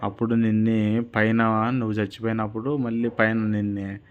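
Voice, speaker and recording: An old holy man, voiced by a man storyteller, gives stern, confident counsel in a low voice. He has a plain-spoken Rayalaseema Telugu accent, on a slightly dull recording. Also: flat delivery, moderate pace, slight background noise, lightly acted.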